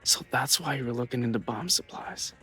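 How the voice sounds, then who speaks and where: A man's voice: mid-range voice, brisk, pushed and bright, soft background music, lightly acted, low-quality recording. Actor as earnest, stubborn young man. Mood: casual, determined. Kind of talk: video game dramatic acting.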